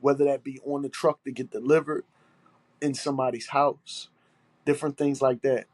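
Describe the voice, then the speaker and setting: A man's voice: medium pitch, flat delivery, moderate pace, darkish tone, no room sound. A man with a stuffy nose, hosting a solo podcast and talking in an aggrieved, emphatic way, repeating his points and swearing. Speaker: podcast host.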